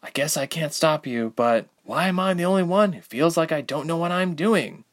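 A man's voice: a little high, animated, moderate pace, voice pushed up, close to an everyday voice. Dude doing an anxious homesick young woman, audiobook style, plaintive and sighy, voice raised a bit. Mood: dejected. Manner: plaintive, sighing. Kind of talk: audiobook character voice.

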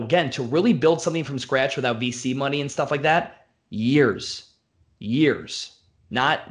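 A gym owner who talks in blunt, profane bursts, piling one example on the next. His voice sits at an ordinary middle pitch. It is relaxed, unscripted podcast talk. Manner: blunt, profane, fast-flowing rants. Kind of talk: casual podcast conversation.

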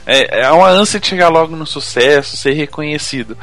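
Bright-voiced Brazilian man, fairly high pitch. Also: animated, brisk. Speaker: podcast co-host. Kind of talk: casual chat.

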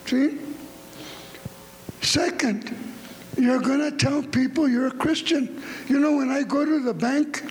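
A middle-aged man from the United States preaching a fervent revival sermon, repeating phrases and rising to shouts. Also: very high-pitched, slow pace, pauses often. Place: large church hall. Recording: long hall reverb.

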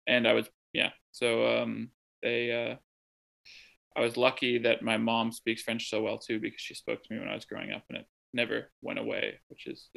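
A man's voice: medium pitch, gravelly, bright, forceful tone, rough recording. A young man from the American Midwest, a translator being interviewed from home, talking in a thoughtful, rambling way full of ums and restarts. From Midwest of the United States. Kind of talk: casual conversational interview. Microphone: remote call from home.

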